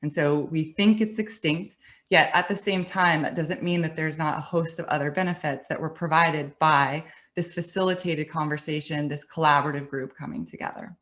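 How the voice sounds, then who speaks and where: A middle-aged woman with a low voice tells her story in a webinar. She speaks conversationally, with restarts and light laughs, over a computer mic. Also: fairly even intonation, normal speed, fairly bright tone. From United States. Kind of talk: presentation talk.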